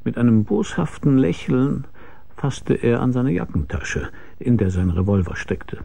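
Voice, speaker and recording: A world-weary private eye in a radio crime drama. His voice is dark and husky, sitting at an average male pitch. He tells his case drily and questions people in short, wry phrases. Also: very animated, measured pace, full character voice. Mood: brooding, wry. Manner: laconic, dry, probing. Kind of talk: German radio crime drama, first-person narration and dialogue.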